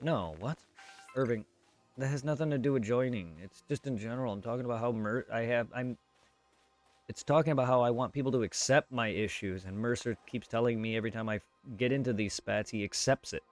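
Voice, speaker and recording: A man's voice: mid-range voice, fairly quick, faint music behind. A man talking off the cuff to his chat on a livestream, blunt and repetitive, swearing at times. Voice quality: normally very quiet voice. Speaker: live streamer. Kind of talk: unscripted livestream talk to chat.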